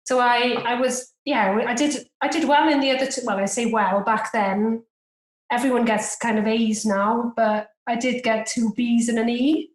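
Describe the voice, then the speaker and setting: A woman's voice: a little high, fairly even intonation, normal speed. A Welsh woman in her forties, a native Welsh speaker from Cardiff, chatting casually as a podcast guest in a self-deprecating way with lots of fillers. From Cardiff, Wales. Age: 40s. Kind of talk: casual interview chat. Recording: roomy echo.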